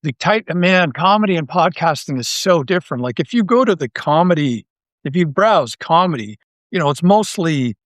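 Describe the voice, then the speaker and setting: A man's voice: fairly high pitch, animated, normal speed, a bit bright, no room sound. A man speaking casually as an interview guest, thinking aloud in a rambling way with false starts and repeated words. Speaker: interview guest, audio drama writer and creator.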